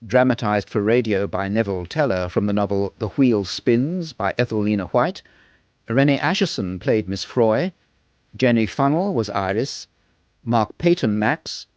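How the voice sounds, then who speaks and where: A man with a British accent reading a scripted radio announcement in a formal, measured manner, on a band-limited recording. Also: lowish voice, a little mellow, no echo, dull audio. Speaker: closing announcer.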